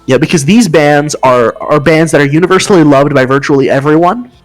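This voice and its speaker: High-voiced man explaining with enthusiasm. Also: a little fast, soft background music. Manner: enthusiastic, explains with sung riff sounds. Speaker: podcast co-host. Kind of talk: casual chat.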